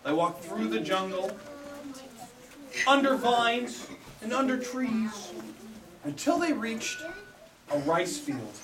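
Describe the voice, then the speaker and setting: Animated woman storyteller in an echoey room. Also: on the high side, a crowd in the background. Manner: theatrical, drawn-out words and repeated phrases. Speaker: storyteller for a children's puppet show. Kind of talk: animated live storytelling for small children. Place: echoey room at a live children's puppet show. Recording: echoey room, far from mic, audience noise. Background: audience of a live show.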